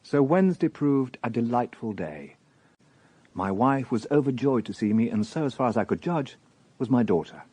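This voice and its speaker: A dutiful British police inspector and husband in a radio drama. He is polite and mild, slightly hesitant. His tone is mellow and husky, moving freely in pitch. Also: lively intonation, moderate pace, no echo. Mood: warm, content. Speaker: actor in a radio crime drama. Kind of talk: radio drama dialogue and first-person narration.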